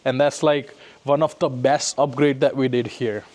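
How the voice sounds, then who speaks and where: A man's voice: mid-range voice, steady tone, normal speed, darkish tone. A man giving a casual, explanatory walkthrough demonstration as an installer, often checking in with a short tag question. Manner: explanatory, often tags sentences with "right?". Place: on location around a residential building, lobby to parkade.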